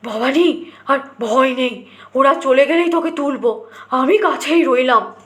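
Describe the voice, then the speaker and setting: A woman's voice: sits high, a little slow, a bit bright, reverberant. A woman voicing an anxious, tender old village father in an audio story, urgent and imploring. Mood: urgent hushing.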